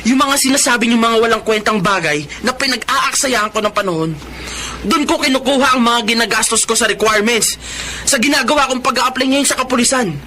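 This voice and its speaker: Casting: earnest young Filipino son in radio drama, very high bright voice, emotional, noisy recording. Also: male voice, fairly quick. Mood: hurt, reproachful. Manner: emphatic, emotional delivery. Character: earnest, hurt young adult son training as policeman.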